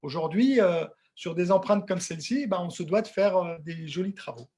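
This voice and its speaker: A middle-aged man presents an informal technical webinar in a fairly high, husky voice. He speaks conversationally, as a working technician explaining his craft, and repeats words to drive points home. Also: moderate pace, warm tone. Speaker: dental technician giving a webinar.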